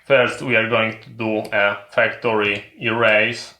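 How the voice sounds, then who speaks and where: Slow, bright-voiced man giving step-by-step instructions. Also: on the low side, little pitch movement, roomy sound, a little hiss or hum. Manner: methodical, repetitive phrasing in short separated steps. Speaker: tech channel presenter giving a tutorial. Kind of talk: step-by-step instructional narration of a hardware demonstration.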